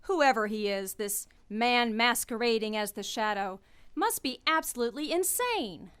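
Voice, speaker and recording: A woman's voice: on the high side, expressive, a little slow, fairly bright tone, clear, resonant tone. An actress playing an earnest, anxious young woman companion in a radio drama. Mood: worried. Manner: earnest and worried.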